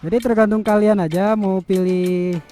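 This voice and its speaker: A high-pitched man talks casually into a helmet intercom headset. He explains things like a motovlogger reviewing gear, with faint music behind him. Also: fairly even intonation, moderate pace, dark tone. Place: outdoors, riding a motorbike on the road.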